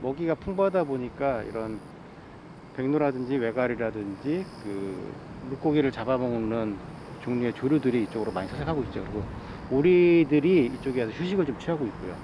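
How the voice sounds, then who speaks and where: A man's voice: medium pitch, animated, a little fast, noisy background. A man who works in airport bird control, explaining his work plainly and practically with some hesitations. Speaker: airport wildlife control worker. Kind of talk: on-the-job interview.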